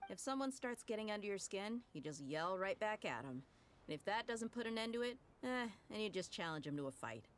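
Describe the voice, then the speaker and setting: An actress voicing a blunt, tough young mercenary leader in a video game, speaking directly and boldly. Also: female voice, lowish voice, a little hiss or hum, natural voice. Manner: direct, bold, quick to laugh.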